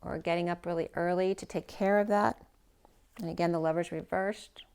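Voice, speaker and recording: A woman with a low, gravelly voice works through a tarot spread in an unhurried, rambling way. Her voice is going, and she often trails off mid-phrase and starts over. It sounds like an informal, self-recorded reading. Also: fairly even intonation, a little mellow. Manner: thinking aloud, rambling, trailing off and restarting. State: losing her voice. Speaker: tarot reader. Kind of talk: casual spoken tarot reading.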